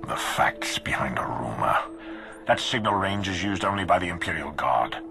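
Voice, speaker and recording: An extremely deep, gravelly game villain. This grim dark lord speaks with solemn, imperious command, each phrase measured. Loud music plays behind him. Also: male voice, animated. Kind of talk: video game voice acting.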